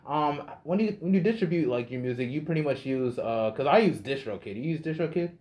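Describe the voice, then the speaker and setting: A man's voice: a little high, animated, a little fast, darkish tone. A man, hosting a podcast in a hesitant, filler-heavy casual way. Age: 20s. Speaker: podcast host. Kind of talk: casual interview chat.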